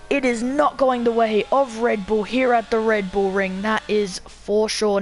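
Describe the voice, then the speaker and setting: A woman commentating live on a sim race, her voice slightly croaky from being sick. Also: a little high.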